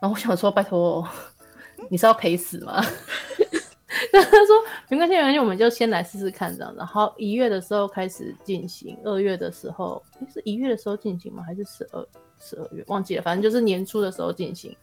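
A woman's voice: mid-range voice, lively intonation, a little fast, faint music behind. A woman with a Taiwanese Mandarin accent, an author chatting casually as a podcast guest, telling things in a jokey way. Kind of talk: casual chat.

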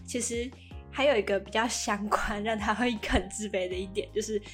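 A woman's voice: mid-range voice, steady tone, brisk, faint music behind. A woman with a Taiwanese Mandarin accent co-hosting a podcast, casually recapping a drama in a chatty, explanatory way. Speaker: podcast co-host.

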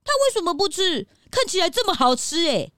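Woman voicing a curious, high-pitched little sidekick. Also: big pitch swings, a little fast, noisy background. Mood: puzzled, curious. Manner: inquisitive, wide-eyed exclamations. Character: curious, excitable young male detective's sidekick. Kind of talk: children's radio drama acting.

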